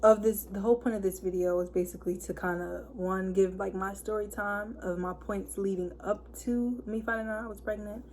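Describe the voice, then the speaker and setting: A hoarse woman of twenty-one, chatting casually to camera and packing her talk with fillers. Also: mid-range voice, steady tone, brisk, hardly any pauses, a little mellow, a little hiss or hum. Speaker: vlogger. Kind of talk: casual story time chat.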